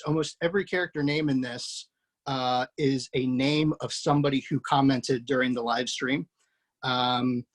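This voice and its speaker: A man's voice: mid-range voice, fairly bright tone, husky, rough recording. A man hosting over a video call, casually introducing a cast with effusive praise, loose and full of fillers. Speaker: host introducing a table-read cast.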